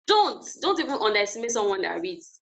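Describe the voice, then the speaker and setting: A woman's voice: medium pitch, sing-song, fairly quick, gravelly, fairly bright tone. A woman giving an earnest, encouraging webinar talk over a video call, repeating her key phrases. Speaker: guest speaker.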